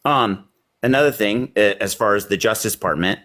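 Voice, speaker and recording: A man arguing politics in a heated call, combative and rambling with many fillers, his voice a little hoarse. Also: lowish voice, a little fast, a bit bright. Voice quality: slightly hoarse. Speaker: debate caller.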